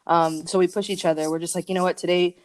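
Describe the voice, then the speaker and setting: A woman with a slightly low voice talks candidly as a podcast guest. Her speech is informal and slangy, sprinkled with "like" and "you know," in the style of personal storytelling. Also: level tone, fast talker. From Argentina and United States. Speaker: podcast guest, creative working from home.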